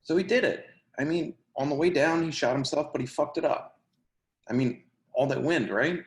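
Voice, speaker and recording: An actor playing a tough, grungy twenty-four-year-old in a play reading, casual, defensive and slangy. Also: male voice, a little high, moderate pace, close to an everyday voice. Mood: exasperated. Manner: slangy, profane, conversational. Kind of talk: remote play reading, naturalistic casual dialogue. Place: indoor room, remote video call. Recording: roomy, echoey video call.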